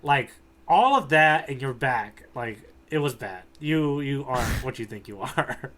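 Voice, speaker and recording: A man's voice: mid-range voice, lively intonation, a little slow, a bit bright. A man co-hosting a podcast in casual comedic chat, wryly riffing on jokes as he goes. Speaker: podcast co-host.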